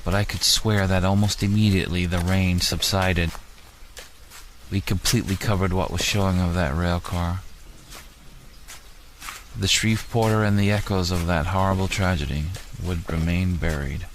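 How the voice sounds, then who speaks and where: A man's voice: deep voice, fairly even intonation, normal speed, low-quality recording. A man narrating a first-person dramatic audiobook in a somber, melodramatic manner, recorded at home with some noise.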